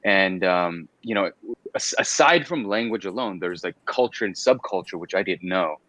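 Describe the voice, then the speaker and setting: An American man voice actor telling stories as an interview guest, animated and often repeating himself. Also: deep voice, moderate pace. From United States. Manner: animated storytelling, repeats words. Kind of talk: casual interview chat.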